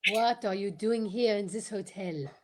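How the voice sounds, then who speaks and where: A woman playing a pompous, courteous male detective, formal and deliberate, in old-time radio mystery style. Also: mid-range voice, lightly acted. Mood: probing. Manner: formal, deliberate, theatrical questioning.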